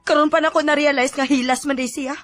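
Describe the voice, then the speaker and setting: A woman's voice: high voice, fairly even intonation, quick pace, fairly bright tone, no echo. A woman with a Cebuano Filipino accent playing a heartbroken, lovesick young woman in a radio drama.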